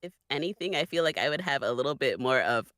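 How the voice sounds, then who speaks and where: A woman's voice: very deep voice, lively intonation, fast talker, fairly bright tone, no echo, studio quality. A woman on a podcast panel chatting casually in a playful, agreeable way. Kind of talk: casual chat.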